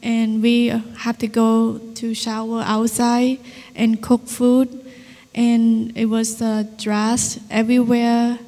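Woman, slow halting english, mellow voice, hall reverb. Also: on the high side, monotone. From Cambodia. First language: Vietnamese. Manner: halting, simple phrasing. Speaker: guest. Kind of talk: testimony interview.

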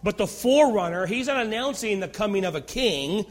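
A high-pitched male pastor delivering a sermon with a naturally loud voice. He swings between fervent, rising emphasis and hushed, near-whispered lines. His voice rings with the long reverb of a big sanctuary. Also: expressive, brisk.

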